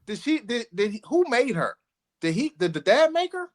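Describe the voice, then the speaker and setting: A man's voice: very high voice, big pitch swings, moderate pace. A man hosting a podcast in casual, slangy, joking group banter. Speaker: podcast host.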